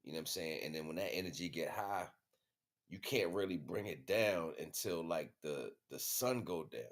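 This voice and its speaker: A man's voice: sits low, moderate pace. An American man speaking in a casual, rambling livestream monologue, slangy and repetitive, often checking that listeners follow. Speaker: livestream host.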